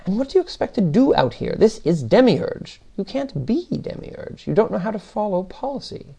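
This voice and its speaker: A haughty machine mind in a storybook, acted out by a man, speaks in a raised, creaky voice. It is fussy and weary, firing off incredulous questions and grumbling objections. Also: sits high, very animated, normal speed, warm tone, pitched above the performer's own voice, heavily acted. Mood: exasperated. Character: haughty, exasperated sock-puppet machine intelligence, testy and weary.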